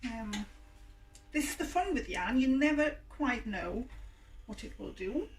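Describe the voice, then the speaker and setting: Croaky woman demonstrating dyeing. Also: on the high side, sing-song, measured pace. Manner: chatty, hesitant with many ums. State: croaky voice, clearing throat. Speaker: yarn dyer and shop owner. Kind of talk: casual demonstration video. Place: home workspace with hot plate. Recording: distant mic with faint hum. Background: faint hum of a hot plate.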